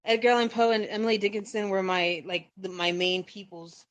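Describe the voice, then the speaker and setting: A woman with a mid-pitched voice chats casually. She talks in a gushing, slangy manner, informal and loose in her phrasing. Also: little pitch movement, a little fast. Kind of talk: casual chat.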